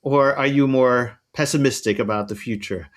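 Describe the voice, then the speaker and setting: A man's voice: mid-range voice, moderate pace. An upbeat man hosting an education podcast. He speaks fluently with a few fillers, thanks his guest and points listeners to resources. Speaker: podcast host. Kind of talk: interview hosting, wrap-up.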